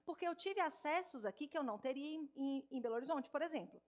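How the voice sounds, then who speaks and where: A woman in her thirties with a Minas Gerais accent, a speech therapist giving an animated stage talk straight to the audience in an auditorium. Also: high-pitched, fast. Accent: Minas Gerais Portuguese. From Minas Gerais, Brazil. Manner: warm, animated, addresses audience directly.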